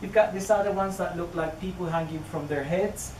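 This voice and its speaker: A man's voice: high voice, fairly quick, darkish tone. A man in his thirties with a Chilean Spanish accent, a native Spanish speaker, giving a public talk as an orchid researcher, hesitant with many fillers and restarts. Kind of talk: public lecture. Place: indoor venue near a greenhouse. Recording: distant roomy recording with noise.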